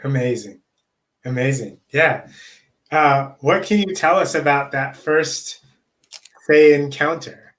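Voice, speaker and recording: A man who stutters, interviewing a guest in a slow, supportive way with many fillers and repeated words. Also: on the high side, a bit bright. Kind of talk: casual interview.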